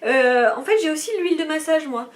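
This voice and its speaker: A woman's voice: high voice, fairly quick. A woman, chatting casually and enthusiastically to viewers as a beauty vlogger. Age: 20s. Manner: bubbly, enthusiastic. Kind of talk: casual unboxing chat to viewers.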